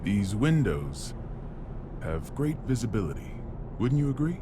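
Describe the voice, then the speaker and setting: An actor playing a breezy, boastful American president in an anime game, showy and bantering, over vehicle noise. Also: male voice, on the low side, sing-song, a little slow. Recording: clear vehicle noise behind.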